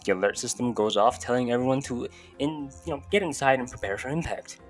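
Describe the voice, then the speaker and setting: A man's voice: mid-range voice, fast. A young man talks casually into a close desk mic in a small room. He is irreverent and swears freely, with music playing faintly behind him. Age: young adult. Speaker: YouTube video host. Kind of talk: casual video commentary. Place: small bedroom, indoors. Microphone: close desk microphone.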